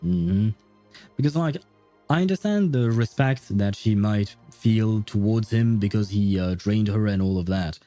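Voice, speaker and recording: Mellow, very dark-voiced man streaming casually. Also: fairly low pitch, unhurried, faint music behind. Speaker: game livestreamer. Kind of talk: casual livestream commentary while playing a game.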